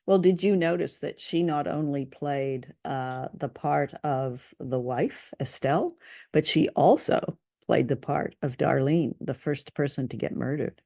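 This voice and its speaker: Creaky, higher-pitched man chatting drily. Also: measured pace, dry recording. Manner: deadpan, teasing dry humour. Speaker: co-host of a show reviewing old radio dramas. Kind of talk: casual review chat.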